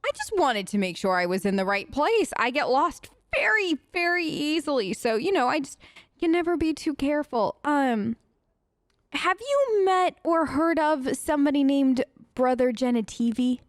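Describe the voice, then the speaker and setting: Casting: woman let's player, higher-pitched, improvising in character, chatty, halting, overly polite, dry studio-close sound. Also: very animated, no echo. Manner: chatty, hesitant, awkwardly polite. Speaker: let's play streamer. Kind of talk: improvised in-character game role play.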